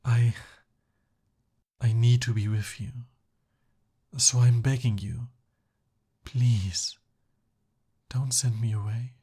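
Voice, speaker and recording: A man acting an earnest, lovestruck young prince in a romantic ASMR roleplay, with halting, emotional, pleading and easily flustered delivery. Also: medium pitch, steady tone, warm tone, slightly rough. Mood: pleading, confessing.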